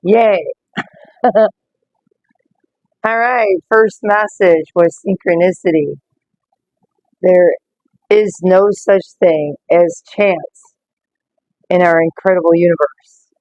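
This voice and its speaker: A woman talks slowly and gently with a slightly rough, worn voice, reading oracle cards in a casual live stream. The sound is dry and close, with no room around it, and the audio is dull and lo-fi. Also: lowish voice, no room sound. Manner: slow, gentle, greets viewers by name. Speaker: oracle card reader.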